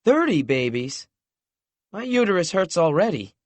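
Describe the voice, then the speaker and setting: A man's voice: expressive, moderate pace, dry recording, close to an everyday voice. A man reading an audiobook, voicing a vulnerable, emotional woman who worries about losing the people she loves. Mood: tearful. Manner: wobbly, raised pitch, soft.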